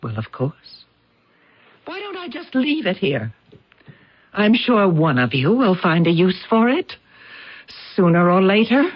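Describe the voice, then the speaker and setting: An actress in a radio drama playing a worldly countess, polite, composed and deadpan in her menace. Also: medium pitch, a little slow, pauses often, breathy edge, no room sound. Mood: coolly menacing. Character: cool, worldly countess, calmly menacing mistress. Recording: dull vintage radio drama recording.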